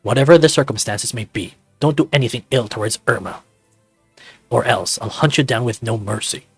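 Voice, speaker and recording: A brooding, muscular furry lab worker from a visual novel, read by a man, hostile and curt. He speaks with menace in a mid-pitched voice, close and dry over faint music. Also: brisk, husky, no room sound. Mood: menacing.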